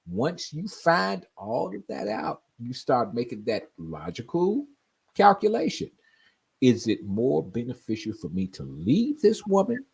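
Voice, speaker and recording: A man's voice: medium pitch, wildly swooping pitch, unhurried, creaky, darkish tone, breathy edge. A man in his forties telling stories in casual chat, often asking "you know what I mean?". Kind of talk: casual chat on a live stream.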